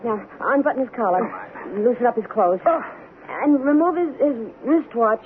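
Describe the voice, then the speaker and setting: A woman's voice: a little high, animated, moderate pace, a crowd in the background, natural voice. An actress playing a bossy, practical married woman who gives anxious, insistent instructions in an old-time radio drama. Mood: urgent, instructing. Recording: noisy, tinny old radio broadcast.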